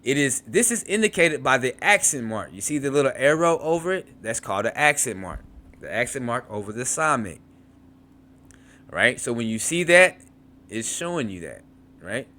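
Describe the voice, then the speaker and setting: Male teacher, lively pitch swings, reads a textbook then explains in his own words, repeats words to drill them. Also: moderate pace. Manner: repeats words to model pronunciation, frequent restarts and asides. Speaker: Hebrew teacher. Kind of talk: reading a textbook aloud and explaining.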